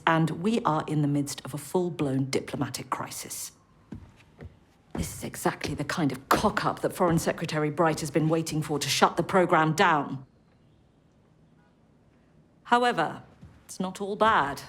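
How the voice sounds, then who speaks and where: Actress as a stern, low-voiced British spy chief. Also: female voice, lightly acted. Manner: commanding, clipped, businesslike. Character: stern, brisk female spy agency chief. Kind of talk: video game cutscene acting.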